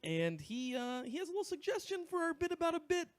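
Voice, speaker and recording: High-voiced man chatting on a podcast. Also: very animated, quick pace, no echo. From US Northwest. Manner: loose, rambling, many fillers and restarts. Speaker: podcast co-host. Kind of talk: casual podcast chat.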